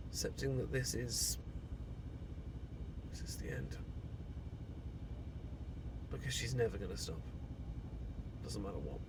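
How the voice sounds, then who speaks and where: A man with a British accent playing a heartbroken thirty-something leaving an abusive partner, talking in a halting, self-deprecating, confessional way in an intimate roleplay. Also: medium pitch, gravelly. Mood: subdued grief. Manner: halting, self-deprecating, rambling with sighs and nervous laughs. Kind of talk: intimate ASMR boyfriend roleplay, confessional monologue. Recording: traffic and outdoor noise behind voice. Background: passing vehicles, outdoor ambience.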